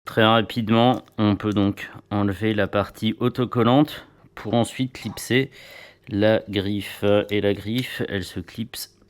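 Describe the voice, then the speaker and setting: A man's voice: lowish voice, steady tone, slow pace. A man reviewing motorcycle gear in a casual, chatty way, with playful little asides.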